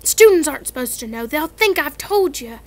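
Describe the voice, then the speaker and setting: A woman reading aloud voices a large, gruff, secretive gamekeeper who hesitates and talks in a shifty way. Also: high-pitched, expressive, normal speed, voice pushed up. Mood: urgent.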